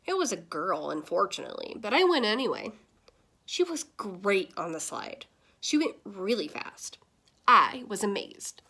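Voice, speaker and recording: A woman's voice: mid-range voice, sing-song, a little slow, creaky, bright, forceful tone, husky, full character voice. A woman reading as a lonely, shy young boy in a storybook, with a wistful, simple manner. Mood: shyly pleased. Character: lonely, bored, shy young son of a posh mother.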